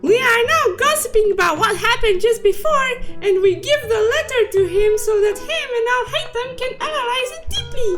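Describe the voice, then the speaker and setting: A woman voicing a silly, excitable cute cartoon unicorn who narrates a comic story recap in a playful, exclaiming, mock-dramatic manner. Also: extremely high pitch, moderate pace, few pauses. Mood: excited, silly.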